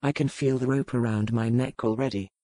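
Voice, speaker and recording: A man's voice: mid-range voice, steady tone, a little fast, mellow, no room sound, lightly acted. A man playing a wry, weary, grudgingly protective ship captain in an audiobook, with a dry, sardonic manner. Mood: grim, dry. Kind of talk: audiobook character dialogue.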